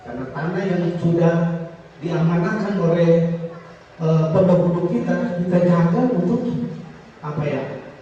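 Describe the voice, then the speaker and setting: A man giving a formal speech, measured and reflective, sometimes pausing to search for words. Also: on the high side, warm tone. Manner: measured, reflective, pauses with "apa". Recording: echoey, distant, dull with noise.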